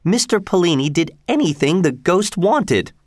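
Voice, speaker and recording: A man's voice: high-pitched, sing-song, normal speed, a little mellow, no echo, studio quality, voice pushed up. A man voicing a proud, easily flustered older woman in an audiobook story, with emphatic protests. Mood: polite, earnest. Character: indignant older French woman, proud theater attendant.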